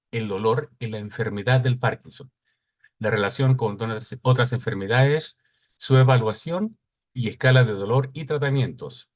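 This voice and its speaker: A middle-aged man from Chile, giving a formal welcome speech and reading out a conference agenda in a measured, announcing way. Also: mid-range voice, darkish tone. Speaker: conference cofounder and opening speaker.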